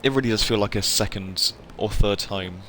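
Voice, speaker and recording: A man with a bright, forward voice on the low side talks casually to his livestream viewers. He is earnest and effusive, often restating a point for emphasis. There is steady noise behind him as he plays. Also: normal speed. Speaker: livestreamer playing a video game.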